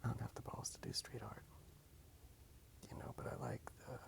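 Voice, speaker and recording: Whispering man with heavy gravelly creak. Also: medium pitch, level tone, normal speed. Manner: soft, rambling, casual. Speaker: ASMR video creator. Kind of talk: whispered ASMR rambling while drawing.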